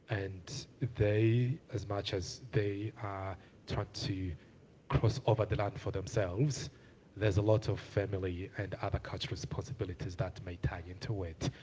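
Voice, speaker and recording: A man's voice: on the low side, darkish tone, a little hiss or hum, poor audio. A young man from Zimbabwe speaking on a panel, earnest and hesitant with many filler sounds. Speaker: community panelist. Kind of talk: panel talk.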